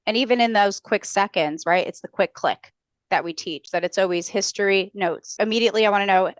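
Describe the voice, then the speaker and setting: A woman's voice: medium pitch, brisk, no room sound. A woman working as a customer service trainer, giving explanatory, instructive advice in a conversational way.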